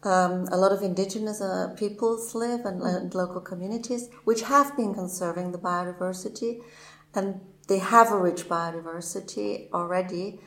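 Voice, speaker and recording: A woman explains the background at length in a fluent, measured way. Her voice sits a little low for a woman. The recording has a roomy echo. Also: fairly bright tone. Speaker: interviewee, advocate giving background. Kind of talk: explanatory conversation.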